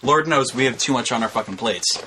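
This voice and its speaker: A man's voice: mid-range voice, brisk, pushed and bright, slight background noise, poor audio. A man co-hosting a podcast in casual banter with friends, joking and self-deprecating. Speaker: podcast co-host.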